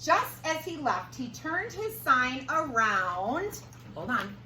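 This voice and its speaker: Animated, high-voiced woman teacher, echoey room. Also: slow pace, distant mic. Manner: expressive, prompting questions to children. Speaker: teacher reading aloud. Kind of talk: animated read-aloud to young children. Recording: roomy echo with clear background noise.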